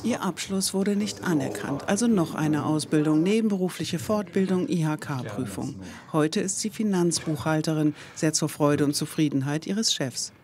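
A woman reading a scripted TV news report in a measured, even voice-over. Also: sits low, crowd noise.

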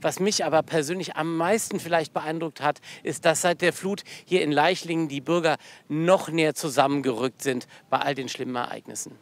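A man speaks calmly and in a measured way in an interview. His voice sits fairly high for a man.

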